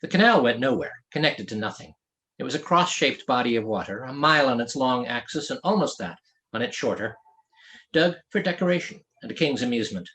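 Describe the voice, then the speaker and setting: Man reading a novel with lively pitch. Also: fairly quick. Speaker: author reading his own novel. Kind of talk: scripted audiobook narration.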